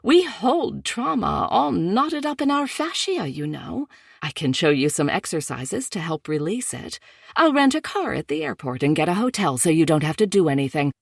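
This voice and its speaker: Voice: mid-range voice, very animated, normal speed, creaky, dry recording, studio quality, voice pushed up. A narrator voicing an insistent new-age mother who will not take no for an answer. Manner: insistent, talks over others. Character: anxious new-age mother, older woman.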